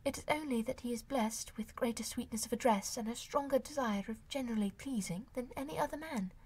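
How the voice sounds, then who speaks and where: Lady reader as a sweet earnest young sister, soft slightly breathy voice, old novel dialogue. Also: on the high side, a little fast, poor audio. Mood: earnest, protesting. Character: gentle, earnest young woman, modest and sweet. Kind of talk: audiobook character acting of a classic novel.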